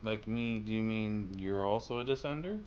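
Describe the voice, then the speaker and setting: A man's voice: fairly low pitch, normal speed, highs cut off, slight background noise. A man livestreaming a game, reading lines aloud and commenting as he muses to himself. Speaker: streamer. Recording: game music behind voice. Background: game music.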